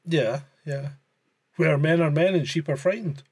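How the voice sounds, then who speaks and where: A man's voice: on the high side, unhurried, a little mellow, breathy edge, no echo, clean studio sound. A man co-hosting a podcast, chatting casually, telling crude jokes, swearing and laughing a lot. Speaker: podcast co-host.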